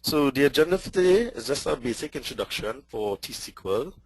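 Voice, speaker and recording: A man from Trinidad with a Trinidadian accent, giving a technical webinar presentation in a quick, excitable way with many fillers. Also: medium pitch, slightly rough, no room sound, rough recording. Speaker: presenter and database lecturer.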